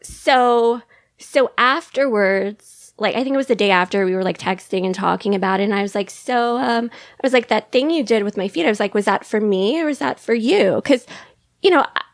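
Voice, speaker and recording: Woman, young, girly voice, raspy fry, frequent laughs. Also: moderate pace, no room sound, clean studio sound. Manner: girly high voice, laughs often. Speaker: podcast guest. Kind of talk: casual candid chat.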